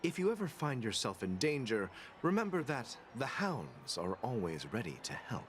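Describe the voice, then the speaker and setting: A man's voice: mid-range voice, very animated, moderate pace, fairly bright tone. A man voicing a polite, dutiful security guard who speaks courteously, in a game with background noise. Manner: courteous, reassuring.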